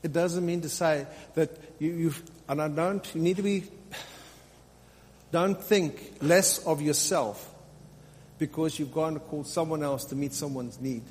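A man's voice: a little high, a little slow, a little mellow. A man preaching as a pastor, exhorting his congregation in an unscripted teaching style and repeating phrases for emphasis. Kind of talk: sermon, extemporaneous teaching. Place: large reverberant room, live preaching. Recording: hall reverb, faint hum and noise.

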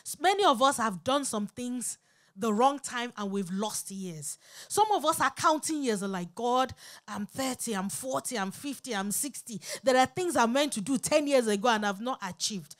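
A woman preaches and leads prayer in a high-pitched voice. Her delivery is fervent and insistent, built on repeated phrases. She exhorts the congregation with urgency. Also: animated, moderate pace.

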